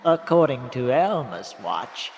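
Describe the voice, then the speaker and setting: A man's voice: mid-range voice, big pitch swings, slow pace, lo-fi sound. A man narrating a scripted radio drama as its storyteller. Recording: long reverb with noisy background ambience.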